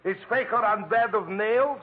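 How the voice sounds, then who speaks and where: A solemn philosophical foreigner, broken english, high raspy voice, tinny old radio. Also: male voice, a little slow. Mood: long-suffering, philosophical. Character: stoical, philosophical foreign man immobilised in plaster. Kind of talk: 1940s radio acting.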